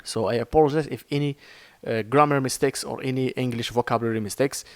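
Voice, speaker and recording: A man from Morocco speaks in a Moroccan-accented, mid-pitched voice, teaching trading in an earnest, halting way. He searches for words and restarts often, filling gaps with "uh". The recording is close and dry, with no room sound. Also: steady tone, dry recording. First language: Arabic. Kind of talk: informal screen-share lesson.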